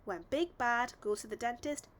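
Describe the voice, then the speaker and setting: A woman's voice: fairly high pitch, lively intonation, moderate pace, lightly acted. A woman voicing a cheerful, eager little monster child in a storybook reading. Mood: eager, helpful. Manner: childlike, eager, speaks of self in third person.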